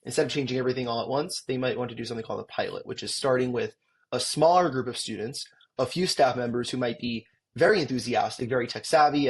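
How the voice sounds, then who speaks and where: A man with a husky voice explains his education tech company as an interview guest. He talks in an articulate, explanatory way with occasional ums. His pitch sits in the middle of the range. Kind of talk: interview answers.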